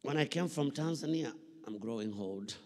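A man's voice: mid-range voice, expressive, normal speed, warm tone. A middle-aged Kenyan man preaching a sermon with emphatic repetition and questions to his listeners, speaking into a handheld microphone. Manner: emphatic, repeats phrases, prompts listeners with questions. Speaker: pastor preaching. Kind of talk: sermon with call-and-response questions. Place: large church hall, indoors. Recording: reverberant hall PA sound.

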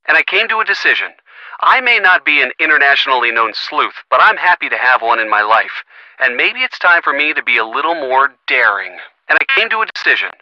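An actor playing an earnest, gently sardonic young American boyfriend in a video game, talking conversationally and expressively with a youthful voice. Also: male voice, medium pitch, dry recording, highs cut off. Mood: sincere, apologetic. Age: young adult. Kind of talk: video game phone-call dialogue.

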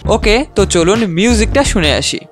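A man's voice: sits high, sing-song, moderate pace. A man, presenting a scripted tech tutorial to viewers, close on a studio microphone. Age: 20s. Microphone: close studio boom microphone. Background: faint background music.

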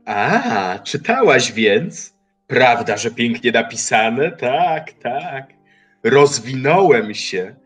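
An actor playing Time personified as a mocking, lofty old man in an audio drama, speaking slowly in an archaic manner. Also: medium pitch, very animated, fairly bright tone, slightly rough, soft background music, exaggerated voice. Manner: slow, measured, archaic speech.

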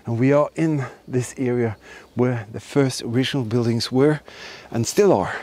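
A man's voice: mid-range voice, moderate pace, mellow. Enthusiastic man exploring forest ruins. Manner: enthusiastic, emphatic, repeats words. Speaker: host of history exploring channel. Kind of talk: walkabout narration to camera. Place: outdoors in a forest and ruined buildings.

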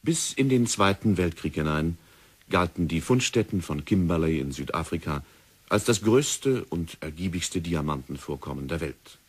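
Deep husky guy narrating an audio drama, formal and measured. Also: animated. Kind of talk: scripted radio drama narration.

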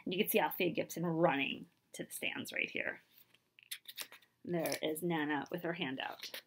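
A woman in her thirties reading a children's picture book aloud expressively, pausing to put questions to her listeners. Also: low-pitched, slight background noise. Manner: animated, asks listeners questions. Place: small room indoors.

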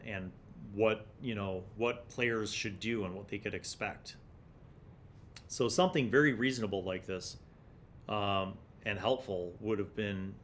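A man's voice: mid-range voice, fairly bright tone, a little hiss or hum. A middle-aged man with an American accent, talking casually and explaining things with emphatic stresses, as a host reviewing on a studio microphone. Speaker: YouTube host reviewing old gaming magazines. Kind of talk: casual review and reminiscence, partly reading aloud. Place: small indoor studio room with shelves. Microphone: large desktop studio microphone in front of him.

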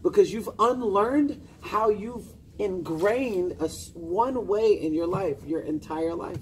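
A man's voice: high-pitched, takes it slowly. A man in his thirties giving informal motivational coaching to a small group, emphatic and directive, with short commands and questions. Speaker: personal development coach. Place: indoor lounge, seated among a small group. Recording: noisy room with ambient hum.

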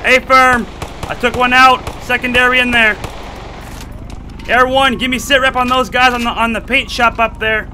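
A man's voice: very high voice, level tone, normal speed, bright, forceful tone. Male gamer in police roleplay, clipped radio calls; noisy game audio behind.

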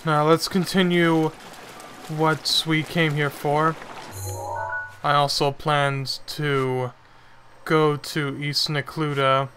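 A young man with a stuffy nose giving slow, halting casual commentary on a game from his bedroom. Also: fairly high pitch, level tone, bright, forceful tone, faint music behind. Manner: slow, halting, self-correcting chatter. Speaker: gaming channel host. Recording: noisy home recording.

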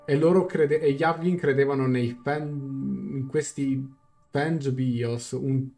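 A young man streaming a game, translating dialogue aloud in a halting, casual reaction. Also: medium pitch, fairly even intonation, darkish tone, faint music behind. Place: small room indoors, on webcam.

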